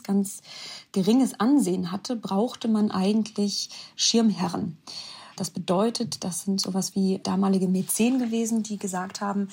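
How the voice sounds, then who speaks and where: A woman's voice: medium pitch, darkish tone, breathy edge. A woman speaking spontaneously as an expert interviewee, explaining things in a conversational, emphatic way.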